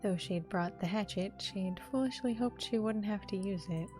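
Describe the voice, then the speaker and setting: A woman game streamer with an informal, expressive manner, commenting casually and reading a visual novel's narration aloud. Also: medium pitch, moderate pace. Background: game music.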